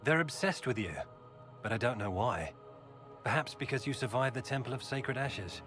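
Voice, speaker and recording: An actor playing an earnest, worried young nobleman in a video game, speaking in a measured way. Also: mid-range voice, animated, music in the background, close to an everyday voice. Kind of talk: video game dialogue acting.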